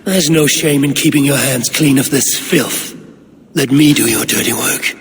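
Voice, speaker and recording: A man's voice: medium pitch, unhurried, lightly acted. Man as a loyal warrior in noisy echoing hall. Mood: protective urging. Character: loyal, protective warrior companion to the king. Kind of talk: dramatic video game cutscene acting. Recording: reverberant hall, clear background noise.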